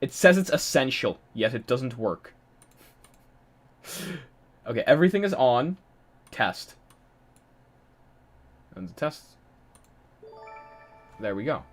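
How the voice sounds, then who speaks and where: A man's voice: mid-range voice, sing-song, slow, long pauses. A young man from Poland chatting casually on a live stream, reading chat aloud and laughing often.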